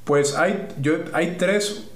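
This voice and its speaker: A man's voice: fairly high pitch, drawn-out words, echoey room. A man with a Puerto Rican accent, a scientist answering interview questions, thinking aloud and rephrasing as he explains. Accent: Puerto Rican Spanish. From Puerto Rico. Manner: thoughtful, restarts and rephrases while explaining. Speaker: scientist guest.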